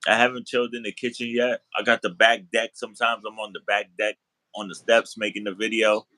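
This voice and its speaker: A man from the Bronx hosting a casual video chat from his living room sofa. He talks in a relaxed way, repeats and restarts his phrases, and laughs easily. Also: fairly low pitch, fairly quick, rough recording. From the Bronx, New York. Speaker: host.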